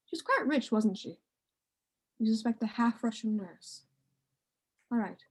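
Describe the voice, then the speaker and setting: A woman playing a courteous, confident male detective in an amateur radio play, speaking in a measured, formal way. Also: a little high, animated, breathy edge. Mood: courteous, inquiring.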